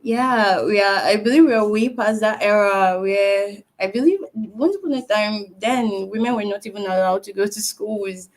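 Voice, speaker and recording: A woman hosting an online interview chat, asking her questions in a measured way with frequent "um" and "uh". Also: medium pitch. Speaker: host.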